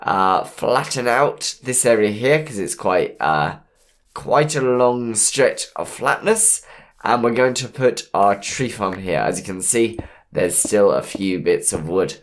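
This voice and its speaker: A man with a hoarse voice from a cold, easygoing and punny as he plans his moves aloud while commentating a game. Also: fairly low pitch, expressive, slow pace. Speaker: gameplay commentator. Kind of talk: let's play commentary.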